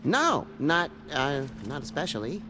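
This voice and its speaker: A man's voice: a little high, big pitch swings, unhurried. A man voicing a meek, polite monkey servant in a video game, timid, deferential and hesitant, eager to help. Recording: music under the dialogue.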